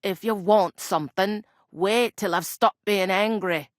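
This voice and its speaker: A woman voicing a gruff, teasing medieval tavern innkeeper in a video game, with a blunt, folksy, scolding way of talking. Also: mid-range voice, measured pace, no room sound, clean studio sound, lightly acted. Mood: stern, grumbling. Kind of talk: scripted game character dialogue.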